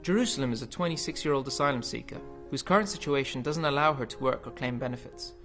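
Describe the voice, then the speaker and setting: A man giving scripted documentary narration in a measured, informative way. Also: mid-range voice. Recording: voice-over with guitar music behind.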